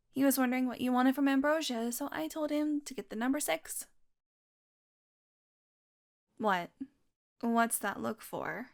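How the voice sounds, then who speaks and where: A woman's voice: fairly high pitch, close to an everyday voice. A woman acting a playful, sardonic, curious young vampire in an intimate audio roleplay. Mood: exasperated, defensive. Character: teasing, curious young female vampire doing experiments.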